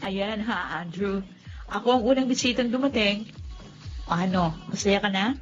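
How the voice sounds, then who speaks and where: A woman's voice: medium pitch, measured pace, dull audio, loud music behind the voice, lightly acted. A woman voicing a sassy, teasing young woman at a birthday party. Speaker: Nikki, party guest.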